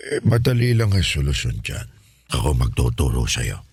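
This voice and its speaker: A man playing a kindly, encouraging old grandfather in a Filipino radio drama, with a husky, aged voice and a folksy, reassuring manner. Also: extremely low pitch, big pitch swings, a little mellow, no room sound, exaggerated voice. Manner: folksy, reassuring, drawn-out interjections.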